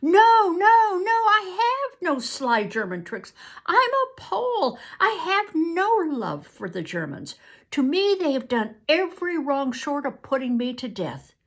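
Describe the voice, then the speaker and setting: A woman's voice: on the high side, expressive, measured pace, fairly bright tone, voice pushed up, exaggerated voice. A woman reading aloud, voicing a desperate young male soldier who pleads earnestly. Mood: pleading.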